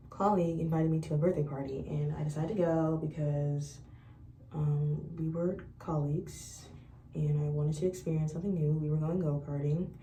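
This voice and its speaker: A woman's voice: low voice, slight background noise. A young woman in college vlogging, chatting casually about her life and acting out past conversations. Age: young adult. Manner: chatty, animated retelling of conversations. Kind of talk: casual storytelling to camera. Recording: echoey room, voice a bit far from mic.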